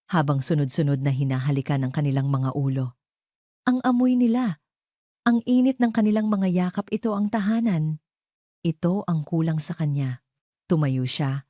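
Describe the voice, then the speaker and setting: A woman's voice: low voice, dark tone, no echo, clean studio sound. A woman narrating a scripted romantic drama story as a storyteller.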